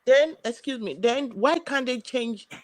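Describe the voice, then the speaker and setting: A woman's voice: sits high, expressive, measured pace, no echo. A woman arguing on a live panel, hesitant with many fillers and restarts.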